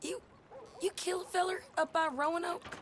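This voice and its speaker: A woman's voice: very high-pitched, fairly even intonation, moderate pace, slight background noise. An American woman acting a brash, hard-living female bounty hunter in a Western video game, with a drawling, folksy way of talking. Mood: delighted, friendly. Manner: drawling, folksy rural speech. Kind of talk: video game dramatic dialogue.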